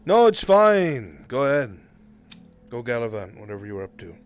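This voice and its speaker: Teasing, calculating killer played by a man, expressive. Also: medium pitch, moderate pace, faint music behind, lightly acted. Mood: dry. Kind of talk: improvised online roleplay acting.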